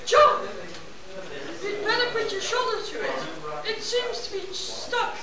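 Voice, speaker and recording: A woman playing an excitable, terrified damsel in a pulp space radio play, shrieky and melodramatic. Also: very high voice, unhurried, over a noisy crowd. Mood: shrieking panic. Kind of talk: live hammy radio play acting. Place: convention hall before an audience. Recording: echoey hall, far off, audience noise.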